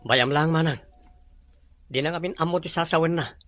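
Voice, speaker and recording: Bright-voiced stubborn heir played by a man. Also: fairly high pitch, moderate pace, soft background music, lightly acted. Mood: casual, defiant. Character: stubborn businessman's son avoiding marriage. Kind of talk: Ilocano radio-style drama acting.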